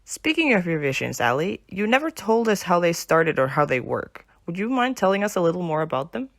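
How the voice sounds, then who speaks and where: A woman's voice: on the low side, sing-song, brisk, no echo, studio quality. A woman playing a chatty, playful fangirl in an audio drama, polite and teasing. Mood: friendly, curious. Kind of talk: amateur audio drama acting.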